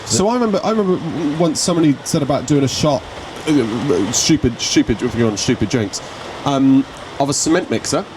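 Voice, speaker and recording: A man's voice: medium pitch, a little fast, few pauses. A man rattles through a story at speed, his voice leaping up and down as he acts out the people in it. There is a faint hum of noise behind him. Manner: animated storyteller who acts out quotes. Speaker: podcast co-host. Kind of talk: casual chat.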